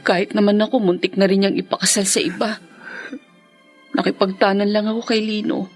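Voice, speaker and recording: An actress playing a resentful married daughter in a radio drama. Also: female voice, medium pitch, level tone, fairly quick, soft background music, close to an everyday voice. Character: resentful married daughter who once eloped.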